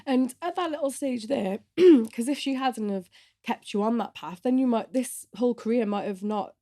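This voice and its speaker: A woman host with a fairly high but mellow voice introduces her guest and asks questions. She prompts gently and keeps the conversation moving. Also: normal speed, dry recording, studio quality. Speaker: podcast host. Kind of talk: interview questions.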